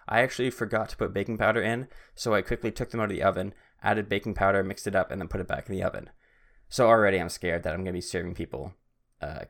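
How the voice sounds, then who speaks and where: Young male podcast host, deep gravelly voice, self-deprecating and easily sidetracked. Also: a bit bright. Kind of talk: casual solo podcast monologue. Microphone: podcast microphone.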